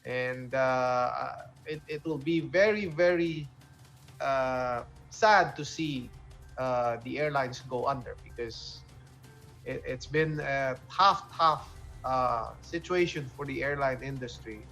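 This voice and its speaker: A man hosting a current affairs discussion, speaking haltingly and repeating words as he goes. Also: mid-range voice, fairly bright tone, poor audio. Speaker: talk show host. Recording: music bed behind the voice. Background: music.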